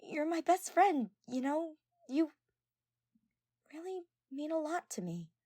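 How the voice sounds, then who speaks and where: A woman voicing an excitable, nervous, affectionate young girl in love, stammering and gushing with emphasis in an amateur audio drama. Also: high-pitched, slow pace, dry recording, clean studio sound, voice pushed up, exaggerated voice. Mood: anxious, earnest.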